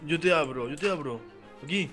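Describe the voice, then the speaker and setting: Fairly high-voiced man giving short gaming replies. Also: brisk, a bit bright, faint music behind. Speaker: gaming co-player. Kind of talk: casual roleplay chat during a video game.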